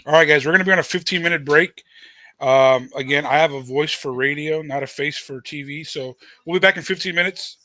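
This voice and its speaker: A man hosting and commentating a live poker stream, relaxed and friendly with viewers. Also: a little high, brisk, dry recording.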